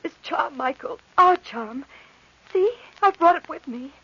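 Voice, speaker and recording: An actress playing an anxious, determined young bride in an old radio horror play, with urgent, melodramatic delivery. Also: female voice, high voice, lively intonation, unhurried, pushed and bright, heavily acted. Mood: frantic, pleading. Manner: breathless, melodramatic. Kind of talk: old-time radio horror drama with narration. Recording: muffled vintage radio recording.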